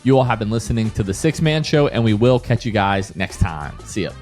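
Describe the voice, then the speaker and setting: A man's voice: on the low side, lively intonation, a little fast, darkish tone, faint music behind. An American man hosting a sports podcast in a casual, chatty style.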